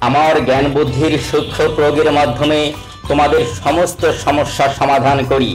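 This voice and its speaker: A man's voice: on the high side, little pitch movement, fairly quick, soft background music, natural voice, rough recording. A man playing a boastful, scheming village judge in a cartoon, declaiming pompously. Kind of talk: cartoon character acting.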